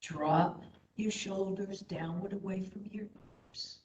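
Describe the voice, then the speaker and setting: Female meditation instructor, very slow and soothing, low-pitched, recorded in an echoey room with slightly dull sound. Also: fairly even intonation. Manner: soothing, unhurried, gentle. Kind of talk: guided meditation.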